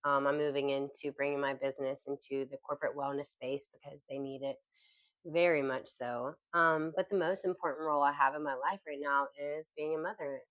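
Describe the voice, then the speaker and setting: A woman in her thirties co-hosts a podcast in a casual, heartfelt chat, with a notably low voice. She speaks in a soulful, emphatic way. The recording is dry and slightly dull. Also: steady tone, fairly quick, a bit bright, no echo. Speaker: podcast co-host.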